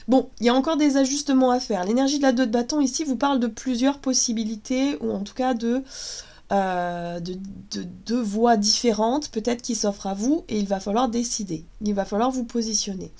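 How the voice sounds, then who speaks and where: A woman's voice: fairly high pitch, dark tone. Chatty woman with a cold. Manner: chatty, hesitant with many fillers. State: has a cold, voice cracking. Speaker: tarot reader. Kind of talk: casual tarot card reading.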